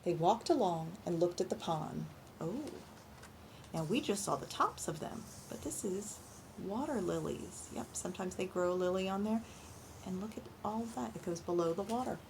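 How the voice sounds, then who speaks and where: A woman reading a picture book aloud to young children in an animated storytime style, pausing to ask questions and explain things simply. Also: lowish voice, gravelly, slight background noise, low-quality recording. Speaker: children's librarian and storytime reader.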